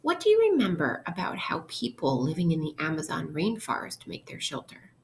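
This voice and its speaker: A woman teacher reading aloud an interactive lesson for young children, instructional and pausing to pose questions to her listeners. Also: low-pitched, huge pitch swings, vocal fry, a little hiss or hum.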